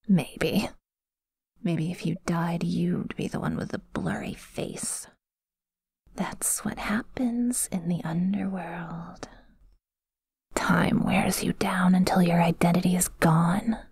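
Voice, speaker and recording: A possessive female demon, acted by a woman whispers in a very breathy voice, close and dry. She draws her words out very slowly, taunting and intimate, in a menacing ASMR roleplay style. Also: fairly low pitch, a little mellow, no echo, heavily acted. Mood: eerie musing. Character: possessive, menacing, teasing female yandere sleep paralysis demon.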